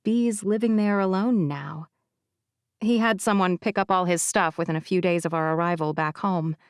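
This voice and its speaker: A woman's voice: fairly low pitch, moderate pace, clear, resonant tone, dry recording. A woman reading a romance audiobook in the first person, wry and confiding, in a conversational tone, close to a studio mic. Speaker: audiobook narrator.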